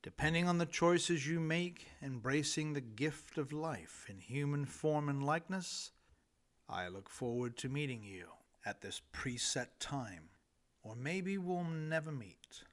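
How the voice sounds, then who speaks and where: A man reading his own humorous book aloud as an audiobook, with deliberate, dramatic phrasing and pauses between phrases. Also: fairly high pitch, expressive. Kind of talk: audiobook narration of humorous essay.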